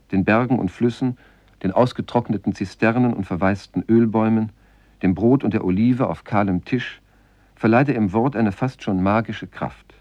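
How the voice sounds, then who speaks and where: Low-voiced man reading a literary essay, dry sound. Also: darkish tone, no room sound, dull audio. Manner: measured, formal, reading quotations. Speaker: radio presenter introducing a play. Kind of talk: scripted literary essay narration. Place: dry radio studio.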